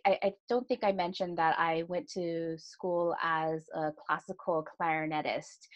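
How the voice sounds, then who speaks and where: A woman's voice: on the low side, highs cut off. A woman in her thirties hosting a solo podcast, speaking earnestly and confessionally, often checking in with "right?". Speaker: podcast host and astrologer.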